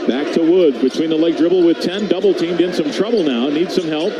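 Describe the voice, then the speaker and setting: A man calls a basketball game live as a radio-style play-by-play announcer. His voice sits a little high, and he describes the action in short, clipped bursts. Clear ambient noise from the arena runs behind him. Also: a little fast. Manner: clipped running descriptions, rising on big plays. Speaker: play-by-play commentator.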